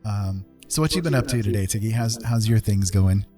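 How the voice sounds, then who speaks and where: A man's voice: fairly low pitch, fairly quick, mellow. A man hosting a live art stream in a casual, jokey, teasing way. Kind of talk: casual livestream chat. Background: music.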